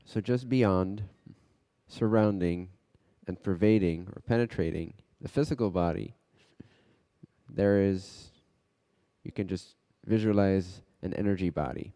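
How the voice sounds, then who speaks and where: A man guides a meditation in a lowish, very soft-edged mellow voice. He speaks very slowly, in short measured phrases with pauses, and his words ring out in a long hall-like reverb.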